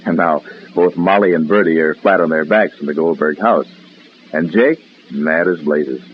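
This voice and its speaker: A man announcing an old-time radio soap opera, narrating dramatically with deliberate pauses. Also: rumbling low, highs cut off, a little hiss or hum.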